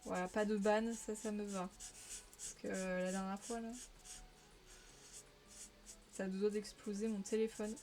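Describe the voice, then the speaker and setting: A woman's voice: medium pitch, flat delivery, unhurried, long pauses, darkish tone. Chatty woman streaming while coloring. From Paris region, France. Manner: chatty, laughs often, answers chat. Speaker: live streamer. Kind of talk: casual live-stream chat while coloring.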